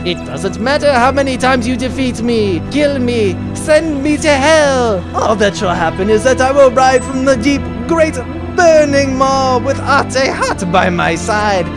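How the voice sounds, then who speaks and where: A grandiose space baron bent on revenge, acted by a man, declaims in drawn-out theatrical lines. The pitch swoops widely, over loud music, in the manner of a comic radio play. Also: expressive. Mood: vengeful fury. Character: bombastic, vengeful male space villain baron. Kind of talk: comic audio drama acting.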